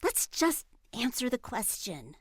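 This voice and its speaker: Woman playing a high, swooping, sassy girl. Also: sing-song, slow pace, slightly rough, dry recording, clean studio sound, full character voice. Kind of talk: scripted children's audio story.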